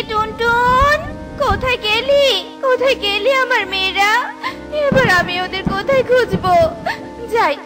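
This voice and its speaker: A woman voicing a caring, anxious mother bird in a cartoon, with an emotive, pleading manner. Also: very high voice. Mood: worried, calling. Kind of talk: Bengali cartoon voice acting.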